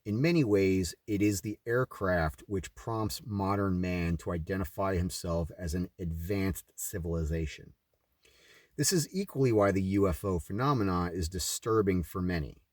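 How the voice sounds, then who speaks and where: A man's voice: deep voice, clean studio sound. A man reading a scripted religious text aloud as narration. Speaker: reader of a religious book. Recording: roomy echo, distant from the mic.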